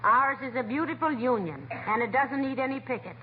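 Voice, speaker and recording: A woman acting a patient, wry wife in 1940s radio comedy style, dry, soothing and gently teasing. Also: high-pitched, brisk, natural voice. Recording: tinny, noisy old-time radio recording.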